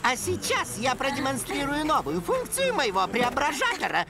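Wildly animated, showy little inventor critter. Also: female voice, sits high, normal speed. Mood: showy, eager. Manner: showy, exclamatory. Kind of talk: children's cartoon voice acting. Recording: loud music behind.

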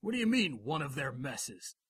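Sharp, husky police lieutenant. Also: male voice, medium pitch, sing-song, moderate pace, no room sound, studio quality. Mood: official, wary. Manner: blunt, official. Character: firm, dry-witted male police lieutenant. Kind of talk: radio drama acting.

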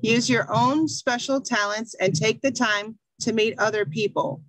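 A middle-aged American woman teaching an English class over a video call, speaking slowly and encouragingly from a small room at home. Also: a little high, fairly even intonation, fairly bright tone, no echo, poor audio. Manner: slow, encouraging, reads a passage aloud. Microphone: video call webcam.

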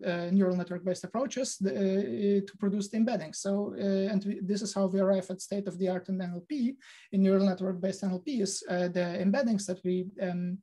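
A man's voice: high-pitched, mellow. A man giving an online lecture over a video call, hesitant, with many fillers and restarts.

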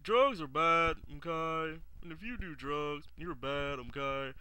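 A man's voice: on the high side, slow, sharp tone, a little hiss or hum, exaggerated voice. A man voicing a dim, moralizing cartoon school counselor who keeps tagging phrases with a questioning okay. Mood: preachy.

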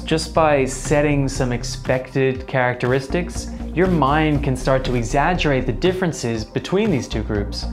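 Lively young man explaining to camera. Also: medium pitch. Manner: lively, conversational, playful asides to the viewer. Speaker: presenter. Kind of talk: scripted educational explainer.

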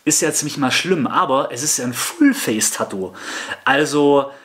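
A man's voice: medium pitch, expressive, fairly bright tone. Skeptical man with a headset mic. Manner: skeptical, repeats himself, halting restarts. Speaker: makeup artist reacting to videos. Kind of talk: reaction commentary.

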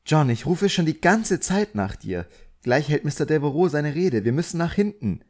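A man's voice: a little high, sing-song, moderate pace, a little mellow, pitched above the performer's own voice. A man voicing a flustered, bossy married woman in an audiobook, urgent and insistent.

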